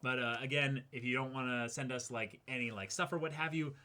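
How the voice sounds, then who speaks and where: A young man hosting an unboxing show, chatting casually in an animated, slangy way with plenty of swearing. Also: medium pitch, a little fast, a little hiss or hum. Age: young adult. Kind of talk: casual excited chat. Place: small room indoors.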